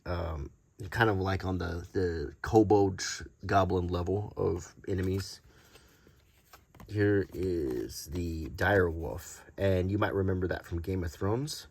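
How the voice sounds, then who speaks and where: A man hosting an unscripted, ASMR-like show-and-tell in a halting, casual way. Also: deep voice, creaky, darkish tone. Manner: halting, unscripted, hesitant delivery. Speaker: host.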